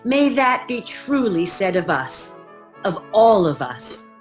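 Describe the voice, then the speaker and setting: A woman narrating a scripted radio drama with measured storytelling emphasis. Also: mid-range voice, sing-song, faint music behind.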